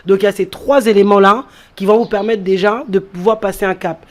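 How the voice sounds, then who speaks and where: A woman's voice: medium pitch, steady tone, a little fast. A woman who grew up in France, talking as a guest in an interview and explaining things step by step, repeating key phrases for emphasis. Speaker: entrepreneur guest. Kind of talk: interview talk.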